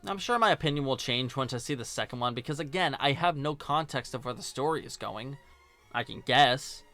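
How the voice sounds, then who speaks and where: Bright-voiced man reviewing films. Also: medium pitch, fairly quick, faint music behind. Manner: sarcastic, informal, joking. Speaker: video reviewer and host. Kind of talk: scripted video-essay commentary.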